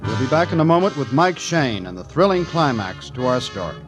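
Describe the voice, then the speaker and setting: A male director-announcer reads scripted credits and links in 1940s radio style. His voice is medium in pitch. Music plays clearly behind him on the period recording. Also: very animated, a little fast.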